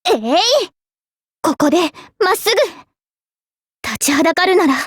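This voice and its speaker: A young heroine mage from a Japanese fantasy game. Her voice is high, clear and youthful, with an earnest, courteous manner. The recording is close and dry, free of room sound. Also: expressive, normal speed, no echo. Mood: spirited battle cries. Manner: polite, earnest. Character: young girl mage, tomboyish, modest, earnest, later a solemn king. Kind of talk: Japanese game character voice lines.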